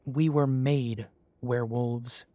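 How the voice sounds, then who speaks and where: A young man plays an earnest young werewolf about to lead his pack, deferential to his elders. He speaks slowly and deliberately into a close mic with a dry, dead sound. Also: mid-range voice, fairly even intonation, mellow, no room sound, lightly acted. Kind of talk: amateur audio drama acting.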